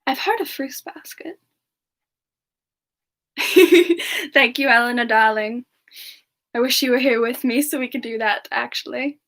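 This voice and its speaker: A sweet, affectionate demon woman, played by a female streamer, with a high voice and an unhurried pace. She chats in a giggly, fond way, as in a semi-ASMR livestream. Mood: cheerful, fond. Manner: gently giggly, affectionate, calls listeners darling. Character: Frostbyte, sweet affectionate demon woman, internet slang novice.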